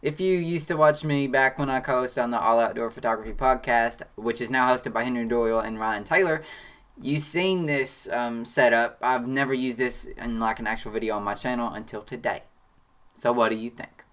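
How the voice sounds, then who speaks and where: A sixteen-year-old boy from Kentucky with a country accent, chatting excitedly as he answers viewer questions from his home studio. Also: mid-range voice, moderate pace. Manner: excitable, chatty, defensive then joking. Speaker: photography channel host. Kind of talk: casual Q&A reading comments.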